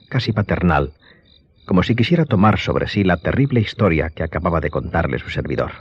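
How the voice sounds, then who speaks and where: Deep, husky male story narrator. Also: no echo. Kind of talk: scripted literary narration. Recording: dull, dry studio sound.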